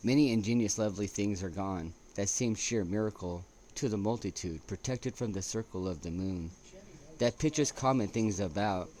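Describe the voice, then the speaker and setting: A man reading poetry aloud as an amateur, in a halting way with stumbles and restarts. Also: on the low side, warm tone. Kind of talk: poetry recitation with casual asides. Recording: noticeable background noise.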